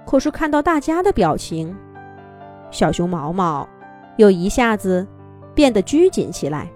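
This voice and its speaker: A woman narrating a children's story in a gentle, expressive, singsong way. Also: medium pitch, a little slow. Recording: soft background music.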